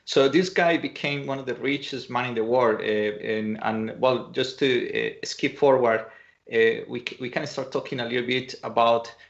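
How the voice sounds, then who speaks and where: Man from Colombia, hesitant presenter, gravelly voice, muffled video-call audio. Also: medium pitch, normal speed. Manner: hesitant, many fillers and restarts. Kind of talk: online conference talk.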